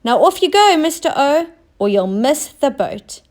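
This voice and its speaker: A woman voicing an excitable, eager young male dock helper in a children's storybook, calling out in exclamations. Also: high voice, measured pace, pitched above the performer's own voice. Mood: cheerful, confident.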